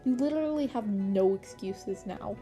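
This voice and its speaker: A weary male hero from an audio story, as a woman reads him. He is plainspoken, protective and gently scolding in a soft, dark tone. Music plays behind the words. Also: mid-range voice, lively intonation, normal speed, lightly acted. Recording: music playing under the voice.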